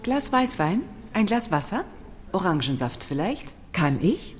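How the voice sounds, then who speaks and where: A woman's voice: on the low side, sing-song, unhurried, slight background noise. A young woman playing a courteous, obliging waitress at a gallery opening in a radio play. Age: young adult.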